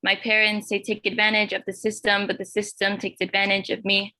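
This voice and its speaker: A teenage girl from Los Angeles reading her own spoken word poem in an earnest, measured way. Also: medium pitch, completely flat delivery, sharp tone, dry recording, rough recording. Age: teens. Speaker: youth poet.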